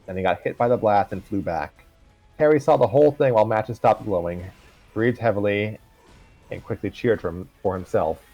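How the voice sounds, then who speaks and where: A man's voice: fairly low pitch, normal speed, no echo, dull audio, soft background music. A man reading a fan story aloud on a livestream, casually, with stumbles and asides.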